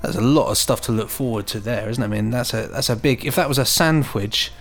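A man's voice: medium pitch, quick pace. A British man co-hosting a podcast with casual, whimsical banter that wanders off on tangents. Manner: jokey, whimsical, digressive. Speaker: podcast host. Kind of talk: casual comic banter and news reading.

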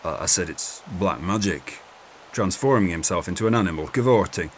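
Guy doing a nervous dim soldier, low voice, stammers and sucks up. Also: expressive, moderate pace, slight background noise. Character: nervous superstitious male soldier, dim and fawning.

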